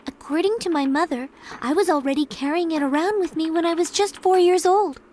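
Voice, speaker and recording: An actress voicing a gentle, sincere young woman in a game cutscene, soft-spoken and a little hesitant. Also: very high voice, brisk, a little hiss or hum. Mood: wistful. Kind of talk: video game cutscene dubbing.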